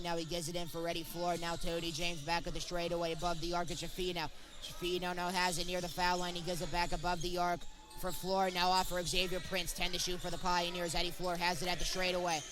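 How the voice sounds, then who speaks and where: A young man doing excitable basketball play-by-play, narrating each pass in clipped phrases. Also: high-pitched. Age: young adult. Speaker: sports commentator.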